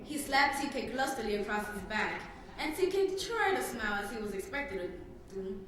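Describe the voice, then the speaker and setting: A teenage girl gives a dramatic narration from literature in a fairly high voice. She is far from the microphone, and the hall echoes heavily. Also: animated, moderate pace, a bit bright. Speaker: student narrator. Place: public reading in a hall. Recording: very echoey hall, distant mic, faint noise.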